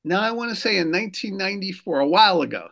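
Man explaining policy, halting with fillers. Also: high-pitched, lively intonation, gravelly, fairly bright tone. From New Jersey. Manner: measured, many fillers and restarts. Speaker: guest, political consultant. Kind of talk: radio interview. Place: lives in Rhode Island.

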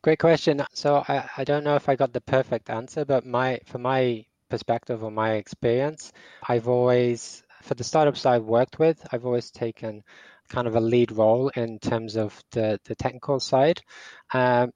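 A man's voice: medium pitch, steady tone, normal speed, darkish tone, no echo, lo-fi sound. A man in his forties, raised in Portugal, answering podcast questions as a startup tech lead, hesitant with many restarts. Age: 40s. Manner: hesitant, with frequent restarts and repeated words. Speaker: startup CTO guest. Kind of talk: podcast interview.

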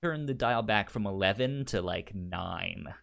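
A man hosting a casual book discussion show, talking informally and haltingly with a stutter that repeats sounds and syllables. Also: fairly low pitch, creaky, fairly bright tone. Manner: halting, informal, thinking aloud. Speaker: podcast host.

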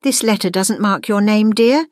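A woman's voice: medium pitch, moderate pace, a little mellow, no echo, studio quality, pitched above the performer's own voice, lightly acted. Woman acting a composed, knowing witch schoolteacher. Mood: fond, knowing.

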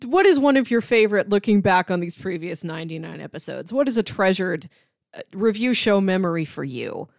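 A woman's voice: medium pitch, lively intonation, brisk, creaky, dry recording. Woman podcaster, close dry sound, casual chat. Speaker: podcast co-host.

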